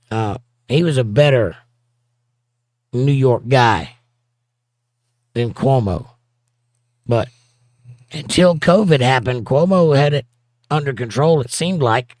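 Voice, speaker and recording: A man's voice: mid-range voice, no echo, studio quality. A man with a Southern accent hosting a livestream, reading viewer comments in a casual, teasing way and chuckling often. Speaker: livestream host reading chat. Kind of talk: casual chat.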